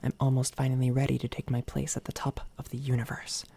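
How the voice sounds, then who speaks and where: Woman as a creaky, soft-spoken female villain. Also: rumbling low, little pitch movement. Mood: grandiose resolve. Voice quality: breathy, often whispered, creaky. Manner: deliberate, grandiose, condescending. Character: sinister female evil doppelganger, coldly megalomaniac would-be ruler. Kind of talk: soft-spoken ASMR villain roleplay monologue. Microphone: close binaural microphone.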